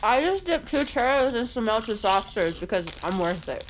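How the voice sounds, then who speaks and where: Blunt sardonic high school girl, lively intonation, audio drama, faint background noise. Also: normal speed, low-quality recording. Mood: sardonic, exasperated. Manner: blunt, sarcastic.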